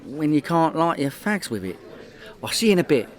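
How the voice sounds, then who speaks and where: A man's voice: on the high side, sing-song, a little fast. A man with a London accent playing a boastful, chatty small-time crook in a comedy radio play. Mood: breezy, matey. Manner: bragging, colloquial, cocky.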